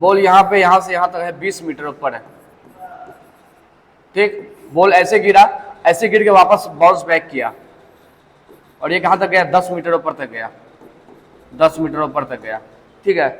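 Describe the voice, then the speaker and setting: A man's voice: high-pitched, measured pace, long pauses, fairly bright tone. A male physics teacher giving a classroom lecture in Hindi mixed with English, often putting questions to the class. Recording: long echo in a large hall.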